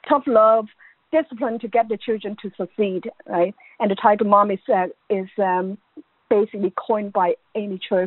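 A woman's voice: mid-range voice, no echo. A middle-aged woman from Hong Kong and Ireland, an academic guest telling personal stories in an informal, chatty interview style. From born in Hong Kong, grew up in Ireland. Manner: informal, chatty, peppers talk with "you know". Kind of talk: conversational interview, personal anecdotes. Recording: thin, band-limited phone-like line.

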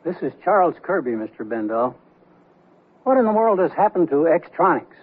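A man's voice: on the high side, big pitch swings, moderate pace, breathy edge. An older actor playing a feisty, indignant retired man in his late sixties in a radio comedy, blustery and mock-formal. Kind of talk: radio comedy drama acting. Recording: lo-fi 1970s radio broadcast, faint music.

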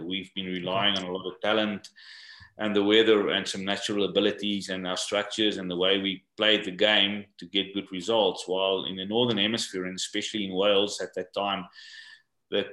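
An older man from South Africa, English not his first language, answering interview questions in a halting way and often repeating words. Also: low voice, sharp tone, echoey room. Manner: halting, repeats words while searching for them. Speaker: guest, rugby coach. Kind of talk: podcast interview.

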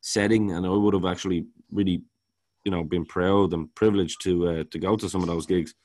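A man with a baritone voice, talking casually as a podcast co-host and rambling, often repeating words while he searches for them. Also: steady tone, brisk, darkish tone. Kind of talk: casual music review chat.

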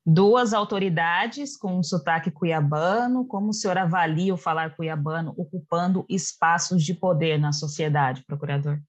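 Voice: medium pitch, normal speed, few pauses, dull audio. Polite interviewer in an echoey room. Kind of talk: interview questions.

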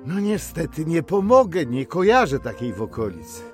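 An actor playing a jovial, teasing older apothecary and father in a period radio drama, with theatrical, drawn-out delivery. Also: a little high, sing-song, faint music behind. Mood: playful, wry.

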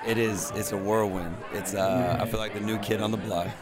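A man's voice: on the low side, steady tone, fairly quick, heavy background noise. A man giving a casual interview as a cast member. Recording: loud music and crowd behind.

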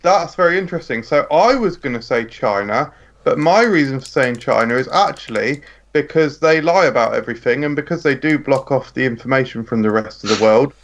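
A man's voice: medium pitch, expressive, fairly quick, a little hiss or hum. A man taking part in a friends' quiz, talking bluntly and rambling through his reasoning. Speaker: quiz contestant. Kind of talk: casual banter.

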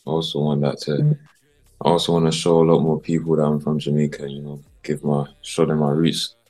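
A man from South London with a South London accent, a guest musician talking casually in a mellow, slang-filled way. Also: a bass voice, completely flat delivery, fairly quick. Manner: mellow, mumbly, full of street slang. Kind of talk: casual interview chat.

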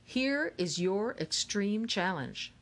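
A woman's voice: medium pitch, big pitch swings, slow pace, a bit bright, heavily acted. A woman voicing an eccentric, playful grown man who runs a game, speaking theatrically in an audiobook. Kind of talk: audiobook character reading.

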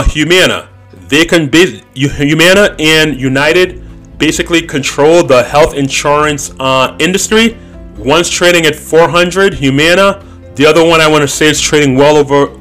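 A man's voice: fairly high pitch, fairly bright tone. Male podcast host, 30s, blunt and opinionated, casual monologue, faint music bed.

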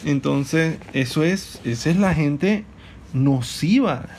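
A young man co-hosting a casual podcast with his partner, telling stories in an animated, joking way. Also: fairly high pitch, slight background noise. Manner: joking, animated storytelling with quick laughs. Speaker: podcast co-host. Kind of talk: casual couple podcast chat.

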